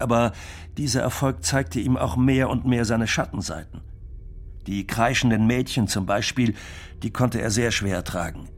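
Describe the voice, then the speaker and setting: A man narrating for a radio feature, telling the story step by step. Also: lowish voice.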